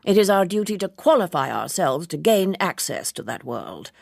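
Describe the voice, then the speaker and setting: A woman's voice: on the low side, sing-song, normal speed, creaky, darkish tone, no echo, clean studio sound, heavily acted. A woman voicing a formidable, stern headmistress with a declamatory, emphatic delivery in an audiobook. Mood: stern, proclaiming.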